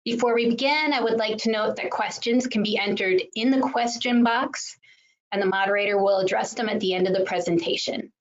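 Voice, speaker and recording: A woman's voice: a little high, fairly quick. A woman opening a webinar as its host, politely reading a scripted welcome and introduction. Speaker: journal manager, host. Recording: dull lo-fi webinar audio.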